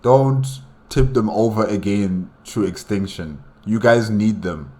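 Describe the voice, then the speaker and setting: A man's voice: lowish voice, slow pace, mellow. A man hosting a reaction, commenting casually and conversationally, repeating phrases as he goes. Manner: conversational, repeats phrases, speaks to the story's characters. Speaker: reaction host.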